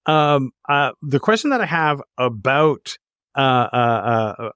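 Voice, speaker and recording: Halting male podcast interviewer. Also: medium pitch, very animated, fairly bright tone, no room sound, clean studio sound. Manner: halting, searching for words. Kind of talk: conversational interview.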